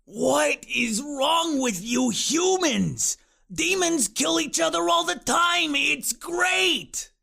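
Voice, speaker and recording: A man's voice: very high-pitched, expressive, moderate pace, gravelly, few pauses, a bit bright, voice pushed up, heavily acted. A man voicing an impatient little male demon who growls and blusters in a storybook reading. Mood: shouting.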